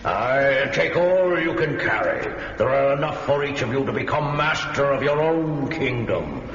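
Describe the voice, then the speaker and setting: A man's voice: medium pitch, very animated, unhurried. An actor playing a desperate king who begs heroes to rescue his daughter, grave and regal. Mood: pleading. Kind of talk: radio drama acting. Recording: echoey hall, dull lo-fi audio, faint hiss.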